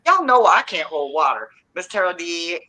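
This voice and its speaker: Playful older woman with bright tone. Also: fairly low pitch, big pitch swings, moderate pace, poor audio. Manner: playful, teasing, drawling. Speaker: tarot live stream co-host. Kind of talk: casual live stream chat.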